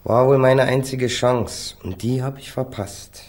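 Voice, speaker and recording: A man's voice: medium pitch, measured pace, darkish tone, close to an everyday voice. A man acting a defiant, street-tough young small-time crook in a German radio crime drama, flippant and slangy. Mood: bitter, self-pitying. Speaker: actor playing a defendant.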